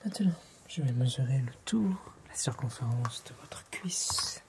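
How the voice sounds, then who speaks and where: A man whispers softly and breathily, giving polite, gentle, formal instructions as a tailor in an ASMR roleplay. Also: medium pitch, animated, measured pace. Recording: clear background noise with clicks.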